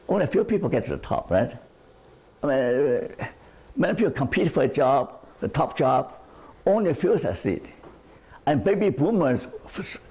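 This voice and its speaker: An older man giving an animated lecture to a group in a living room, with a lapel mic. He often repeats words and checks in with "right?". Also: on the high side, breathy edge.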